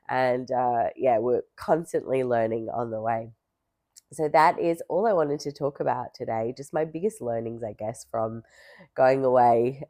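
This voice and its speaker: A young woman vlogging casually to camera, hoarse from illness and rambling with many fillers. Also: a bass voice, darkish tone, no echo, studio quality.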